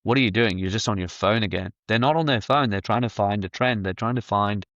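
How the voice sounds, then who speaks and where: A man's voice: fairly low pitch, brisk, fairly bright tone, no room sound, muffled recording. A man appearing as a podcast guest, giving confident advice in casual conversation. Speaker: marketing director guest. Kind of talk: casual interview chat.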